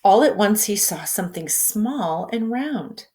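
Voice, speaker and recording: Teacher reading a picture book aloud to children, expressive, engages the listener with questions. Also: female voice, medium pitch, unhurried.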